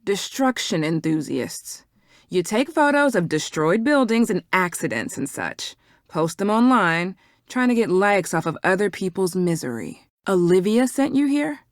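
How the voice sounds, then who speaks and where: An actress playing a guarded, defensive young herbalist shopkeeper in a video game, curt and suspicious in manner. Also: female voice, mid-range voice, big pitch swings, unhurried, no echo, studio quality. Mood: hostile, suspicious.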